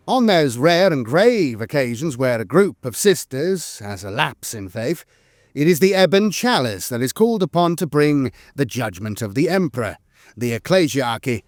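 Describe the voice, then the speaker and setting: A man's voice: a little high, darkish tone, dry recording, studio quality. A man reading scripted lore narration in a solemn, formal manner.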